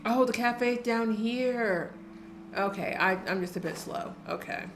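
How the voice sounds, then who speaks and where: A woman's voice: a little high, a little slow, background noise. A young woman giving casual, rambling live commentary on a game, wry and self-deprecating. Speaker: game streamer. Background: game music.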